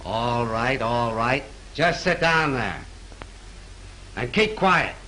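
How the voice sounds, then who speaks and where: A man's voice: medium pitch, lively intonation, slow pace, sharp tone, poor audio. An actor playing a tough male police detective who questions a suspect bluntly, in 1930s film style, with faint hiss from an old soundtrack. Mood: gruff. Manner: blunt, bullying interrogator.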